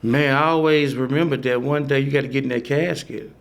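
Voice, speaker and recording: A man's voice: medium pitch, steady tone, a little fast, creaky. A man in his forties, a playwright guest on a podcast, talking loosely and emphatically with lots of "you know" and easy laughs. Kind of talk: casual conversation.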